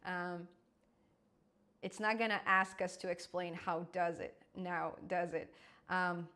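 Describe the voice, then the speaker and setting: A young adult woman hosting a live coding stream, chatty and quick to laugh, often restarting her words. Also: on the low side, fairly even intonation, pushed and bright. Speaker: programming channel host.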